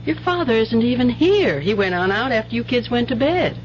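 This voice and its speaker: A woman's voice: fairly high pitch, lively intonation, brisk, slight background noise, natural voice. An actress playing an anxious, doting rural mother in a 1970s radio drama, with folksy, tender speech. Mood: flustered, defensive. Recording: muffled old radio broadcast, music bed.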